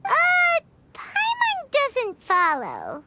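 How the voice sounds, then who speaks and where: A woman voicing a small, childlike fairy companion in a video game, cheeky and excitable, with a squeaky voice and exclamatory delivery. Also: drawn-out words, full character voice. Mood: puzzled, musing. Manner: exclamatory, speaks of self in third person. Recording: game music behind the voice.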